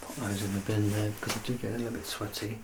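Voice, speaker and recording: Low-pitched man doing gentle ASMR doctor roleplay, noisy background. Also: flat delivery, quick pace. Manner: gentle, reassuring, softly instructing. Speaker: ASMR performer playing a doctor. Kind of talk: ASMR medical exam roleplay.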